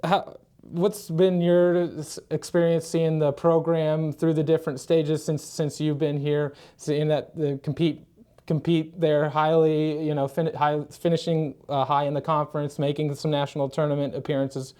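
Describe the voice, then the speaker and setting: Male sports media host. Slightly high, soft-edged voice, conversational questions with frequent restarts. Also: little pitch movement, moderate pace, clear, resonant tone. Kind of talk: sports interview hosting.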